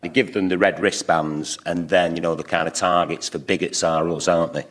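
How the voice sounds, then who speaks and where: A British man with a fairly low voice chats informally on a comedy panel. He rambles through jokes and anecdotes like a storyteller. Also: steady tone, a little fast, a bit bright. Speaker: panel show guest. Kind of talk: informal comic banter.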